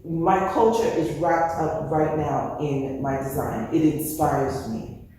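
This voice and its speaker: A woman from the Bronx, a fashion designer telling her story in a casual interview, animated and full of "you know" fillers. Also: low voice, takes it slowly. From New York, Bronx. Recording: echoey hall from far off, faint noise.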